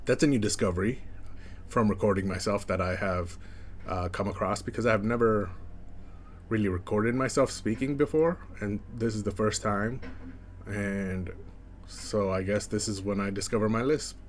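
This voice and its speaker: Man, young, slight lisp, flat monotone delivery, casual rambling, faint background noise. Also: lowish voice, moderate pace. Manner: rambling with many fillers. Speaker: streamer. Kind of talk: casual chat.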